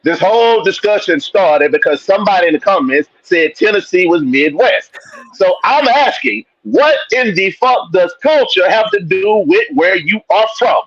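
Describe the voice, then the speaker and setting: A man with a high voice, exasperated and questioning as he pushes the group to make their point. The recording sounds dull and lo-fi. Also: lively intonation, normal speed, fairly bright tone. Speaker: podcast co-host. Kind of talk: casual debate.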